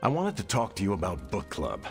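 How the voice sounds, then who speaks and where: Low-voiced easygoing game hero, casual, wry, sincere, very lively melody. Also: male voice, brisk, soft background music, exaggerated voice. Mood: confiding. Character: easygoing, friendly male superhero, sincere and jokey. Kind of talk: video game cutscene acting.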